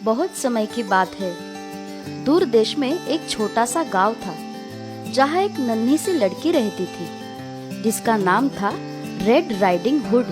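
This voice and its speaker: High, lively woman storyteller. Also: takes it slowly. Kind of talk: scripted children's story narration. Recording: music behind the voice.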